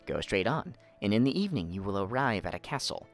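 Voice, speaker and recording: A man's voice: fairly low pitch, sing-song, fast talker, soft background music. A man voicing a cunning old fox who gives wise, emphatic counsel in a storybook reading. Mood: firm, advising. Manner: instructing, emphatic.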